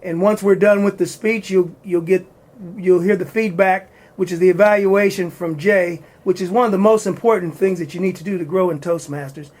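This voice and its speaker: A man hosting a community talk show, introducing a speaker with halting restarts. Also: high voice, fairly even intonation, darkish tone. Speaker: host. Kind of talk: introducing a guest.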